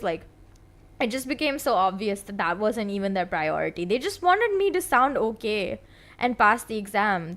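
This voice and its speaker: Chatty young woman, slightly high voice. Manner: chatty, rambling, full of "like" and "you know"; exasperated storytelling. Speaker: singing streamer. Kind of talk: casual chat to viewers.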